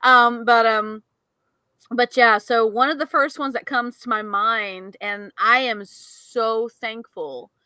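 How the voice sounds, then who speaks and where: A woman co-hosting a podcast, telling a story in an animated way and laughing often. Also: fairly high pitch, no echo, low-quality recording. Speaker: podcast co-host. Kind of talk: casual storytelling chat.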